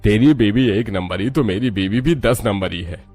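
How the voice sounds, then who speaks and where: A man's voice: lowish voice, moderate pace, a little mellow, natural voice. A man voicing a weary older father in a moral story cartoon, grumbling and resigned. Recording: music behind the voice.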